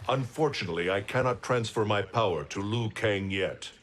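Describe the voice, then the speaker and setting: A man's voice: lowish voice, moderate pace, slight background noise, lightly acted. An actor voicing a stern, commanding male thunder god, with a game score behind. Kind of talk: video game cutscene acting. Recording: game cutscene with loud score.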